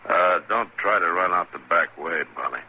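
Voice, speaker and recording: An American actor playing a stern, steady middle-aged frontier marshal in an old-time radio Western, speaking tersely and with grave authority. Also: male voice, low voice, moderate pace. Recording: noisy old radio drama recording.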